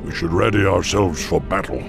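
An actor voicing a wise, solemn old bull-man chieftain in a video game, with a deep, gravelly voice and grave, measured delivery. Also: over music.

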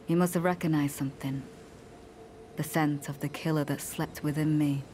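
An actress playing a coolly ruthless, devout woman knight in a fantasy game, speaking formally and with measured solemnity. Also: sits low, steady tone, darkish tone, slight background noise, lightly acted. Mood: cold resolve. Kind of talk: scripted fantasy game dialogue.

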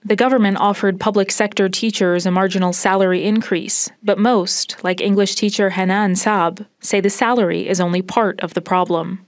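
A young woman reads a news report in a measured, steady voice. Her sound is close and dry, with no room around it, and she holds an even mid pitch. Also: no room sound. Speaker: news correspondent. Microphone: handheld reporter mic.

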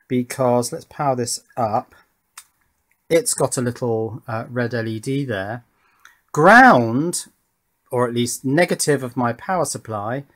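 A British man presents as a hobbyist at his workbench. His voice sits at an average pitch. He works through each step methodically, thinking aloud in an unscripted way. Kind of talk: unscripted hands-on demonstration. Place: indoor home workbench.

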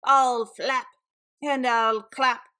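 A woman's voice: high voice, fairly even intonation, extremely slow, pushed and bright, clean tone, exaggerated voice. A woman voicing a big, greedy old male eagle in a storybook, screechy and chanting out menacing threats. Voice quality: screechy, squawking.

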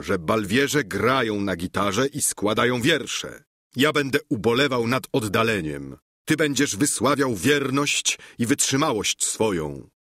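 A man's voice: mid-range voice, a bit bright, no room sound, clean studio sound. A man voicing a lofty, idealistic knight who speaks in grand, flowery phrases. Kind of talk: audiobook character reading.

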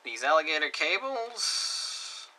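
A man teaching a hands-on electronics project at a desk, talking casually step by step and trailing off quietly as he works. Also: on the high side, very animated, slow pace, slight background noise, rough recording. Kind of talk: hands-on DIY tutorial narration. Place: indoor desk workbench.

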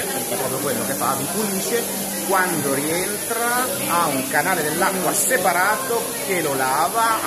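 A man speaks in a rather high voice. He chats to camera in a joking, animated way, like a gadget reviewer. A busy fair hall crowd is clearly heard around him. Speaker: tech reviewer and vlogger. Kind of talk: casual chat to camera while demonstrating products. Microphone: handheld phone at arm's length.

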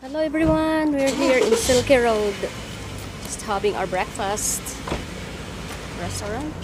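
A woman's voice: on the high side, a little slow. A woman from the Philippines filming a casual breakfast vlog in a small cafe, talking to her viewers in a chatty, giggly way on a handheld phone. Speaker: vlogger. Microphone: phone held at arm's length. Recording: classical music playing behind.

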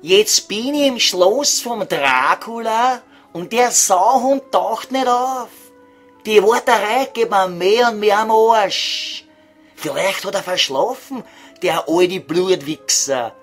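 Man doing a high-voiced cheeky Viennese estate agent. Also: expressive, slow pace, faint music behind, exaggerated voice. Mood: grumbling. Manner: crude, wheedling, colloquial. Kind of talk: comedy audio sketch acting.

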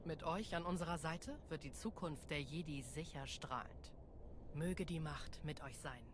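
Female Jedi for a game: low voice, earnest, determined, noisy ambience behind. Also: unhurried. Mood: warm, hopeful. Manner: earnest, composed. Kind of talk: dramatic game cutscene acting.

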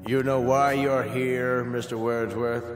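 A man's voice: mid-range voice, level tone, takes it slowly, music in the background, natural voice. An actor playing a stern, officious male chancellor of a totalitarian state in a radio drama, speaking formally and imperiously. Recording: echoey hall reverb.

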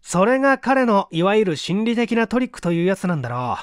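A man's voice: sits high, sing-song, fairly quick, smooth, no echo, clean studio sound, pitched above the performer's own voice. A man voicing a dismissive, skeptical young man in a storybook reading, scoffing and unimpressed. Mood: scornful, sure.

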